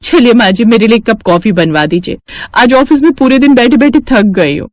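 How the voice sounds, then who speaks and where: A woman's voice: fairly high pitch, animated, a little fast, darkish tone, no echo, studio quality, voice pushed up, natural voice. A woman voicing a smug, boastful young working daughter-in-law in a story, sugary to elders and condescending. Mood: sweetly boastful.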